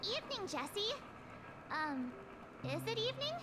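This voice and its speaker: A cheerful young girl adventurer from a video game. Her voice sits extremely high and chatters in a bubbly, friendly way. Loud effects noise runs underneath. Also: big pitch swings, moderate pace. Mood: breezy, chatty. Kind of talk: animated video game voice acting.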